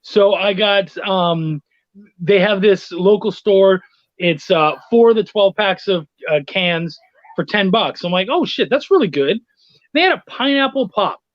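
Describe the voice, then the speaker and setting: A middle-aged man with a distinctly high voice chats as a podcast guest. He is chummy and sweary, telling things casually. The sound is dry and a little lo-fi, as on an online call. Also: lively intonation, a little slow, dry recording. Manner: chummy, profane. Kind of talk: casual storytelling chat.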